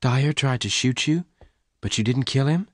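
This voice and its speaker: A man's voice: medium pitch, normal speed, no echo, clean studio sound, voice pushed up, natural voice. A man raising his voice for a pleading young woman. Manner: raised, pleading voice.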